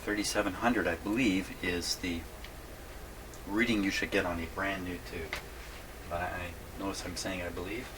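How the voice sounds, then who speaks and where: Low-voiced older man, thinking aloud, noisy recording. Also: steady tone, moderate pace. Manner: rambling, self-deprecating, folksy. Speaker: vintage radio repair hobbyist presenting a video. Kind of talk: casual workshop demonstration, thinking aloud. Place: indoor radio repair workshop.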